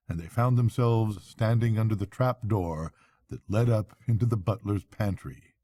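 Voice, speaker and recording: An older man reading a bedtime story as a grandfather would, in expressive storybook narration. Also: fairly low pitch, breathy edge, no echo.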